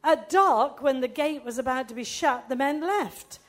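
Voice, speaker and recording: A woman's voice: sits high, normal speed. A woman reading aloud the words of a desperate harlot pleading for her family's lives. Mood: urgent.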